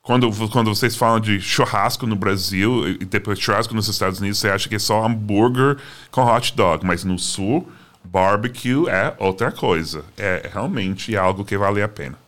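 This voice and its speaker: Low-voiced American man chatting. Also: normal speed, fairly bright tone. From Boston, United States. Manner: joking, chatty, many restarts. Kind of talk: casual chat.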